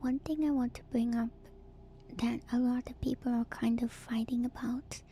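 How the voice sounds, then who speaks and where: A woman streamer speaking in a soft, breathy ASMR whisper, gentle and musing as she reads lore and comments casually, softly repeating phrases. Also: on the high side, fairly even intonation, moderate pace, slight background noise. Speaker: streamer and host.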